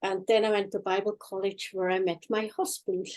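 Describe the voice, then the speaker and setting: An older woman with a Swiss accent, telling her life story in a halting, plain-spoken way as an interview guest online. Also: mid-range voice, little pitch movement, a little mellow. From Switzerland. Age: 60s or older. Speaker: guest, healing practitioner and former nurse. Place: online video chat.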